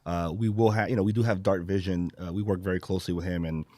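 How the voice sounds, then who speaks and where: A man from Brazil with a low voice, talking at a quick clip on a podcast. He explains things informally in long runs, like a comedian chatting with colleagues. The sound is dry and close. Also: fairly even intonation, mellow, no echo. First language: Portuguese. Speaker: podcast host and stand-up comedian. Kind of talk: casual podcast chat.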